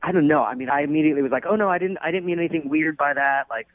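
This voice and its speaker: A man talks quickly as a radio call-in guest, recounting things casually. He is earnest and self-conscious, hedging as he goes. The sound is very dry, with a narrow, slightly dull tone. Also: on the high side, dry recording. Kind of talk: casual anecdotal chat.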